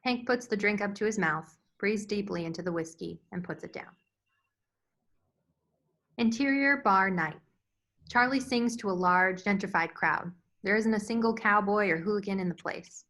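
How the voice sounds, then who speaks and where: A woman's voice: medium pitch, moderate pace, a bit bright. A woman reading scene directions as scripted narration at a screenplay table read. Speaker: stage directions reader.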